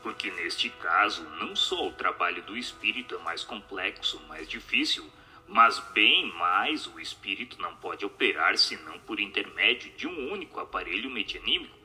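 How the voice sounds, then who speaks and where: Guy reading out a text, very bright sharp voice, formal and teacherly, faint music. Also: deep voice, expressive. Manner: formal, measured, didactic. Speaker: narrator of an audio book adaptation. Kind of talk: scripted narration, reading aloud.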